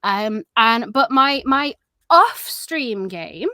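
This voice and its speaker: A woman from Manchester with a slight Manchester twang, talking casually as a guest streamer and laughing between sentences. Her voice sits a little high. The sound is dry and close. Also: animated, drawn-out words, sharp tone, no echo. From Manchester, England. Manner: giggly, chatty. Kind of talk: casual chat.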